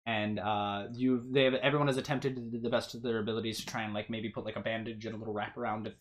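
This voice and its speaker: A game master narrating a tabletop game in a halting, hesitant delivery. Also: mid-range voice, flat delivery, slight background noise.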